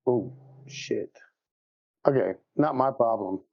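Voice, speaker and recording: A man with a creaky, gravelly voice of middling pitch banters casually during a tabletop game. The sound is slightly dull and lo-fi. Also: slow pace, a little mellow.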